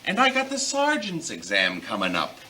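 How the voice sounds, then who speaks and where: A weary, grudging desk cop in an old-time radio comedy. His voice is highish and very sharp, full of brusque streetwise swagger. A faint noise sits behind it. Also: huge pitch swings, moderate pace, exaggerated voice. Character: exasperated city police desk officer, grudgingly helpful.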